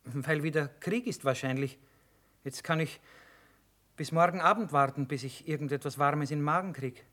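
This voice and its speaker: An actor playing a grumbling, hungry army cook in a radio play, blunt and folksy. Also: male voice, fairly high pitch, moderate pace, bright, forceful tone. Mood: evasive. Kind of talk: German radio play acting.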